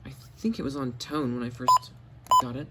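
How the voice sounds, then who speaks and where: A man talks casually through a product demonstration like a hobbyist showing off his finds. It is a home video with clear background noise, picked up on a camera microphone indoors. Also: medium pitch, very animated, moderate pace. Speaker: video host, hobbyist collector.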